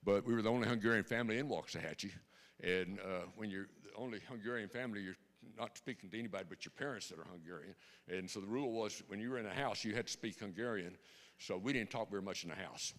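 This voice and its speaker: A man's voice: on the low side, fast talker, fairly bright tone. A man in his seventies with a Texan accent, giving an earnest personal testimony to a church congregation and repeating key lines for emphasis. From Texas, born in Austria. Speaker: guest speaker. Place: church sanctuary.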